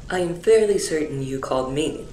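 A woman voicing a dry, formal, sarcastic alien woman in a fan dub, speaking precisely and with deadpan exasperation. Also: sits low, normal speed. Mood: exasperated. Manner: precise, deadpan, stilted wording. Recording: roomy echo, clear background noise.

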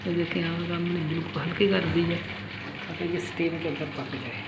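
A man's voice: fairly high pitch, moderate pace. A man casually demonstrating a recipe, explaining it step by step and repeating himself. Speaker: home cook presenting a recipe. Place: small hard-walled home kitchen. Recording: dull, echoey phone recording, loud sizzling noise.

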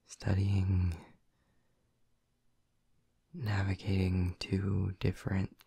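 Male actor as a doting vampire boyfriend: low, breathy, slow whispers, teasing and intimate. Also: warm tone, no room sound, clean studio sound, heavily acted. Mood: tender. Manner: teasing and affectionate. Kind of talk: ASMR audio roleplay.

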